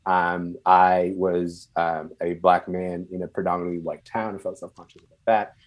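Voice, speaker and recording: A man from Sudan who moved to Canada young and is not a native English speaker, speaking reflectively and haltingly as an interview guest, searching for words. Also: deep voice, little pitch movement. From born and raised in Sudan, moved to Canada at twelve. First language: non-English first language.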